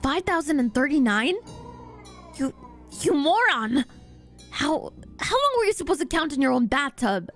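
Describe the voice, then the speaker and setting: A woman voicing an earnest, concerned teenage boy with a halting delivery, in a story readalong. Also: high-pitched, very animated, a bit bright, soft background music, voice pushed up, full character voice. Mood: alarmed.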